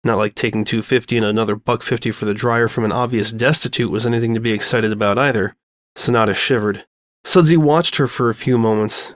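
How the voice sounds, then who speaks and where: Male storyteller, medium pitch, expressive scripted narration, very dry recording. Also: dry recording.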